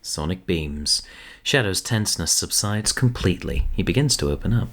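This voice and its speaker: Male narrator, low register, scripted scene-setting for a radio-play style production. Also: lively intonation, normal speed, a bit bright. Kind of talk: scripted audio drama narration.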